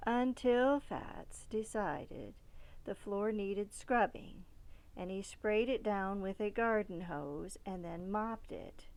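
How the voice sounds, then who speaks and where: A woman reading a children's storybook aloud expressively, with dramatic emphasis that softens at phrase ends. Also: medium pitch, animated, slow pace. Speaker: storybook reader.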